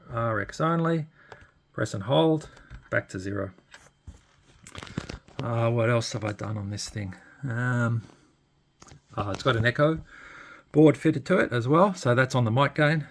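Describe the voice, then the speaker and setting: A man's voice: mid-range voice, gravelly, slight background noise. A man giving a casual, unscripted hands-on demonstration, hesitant and thinking aloud as he goes. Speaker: hobbyist demonstrating radio equipment.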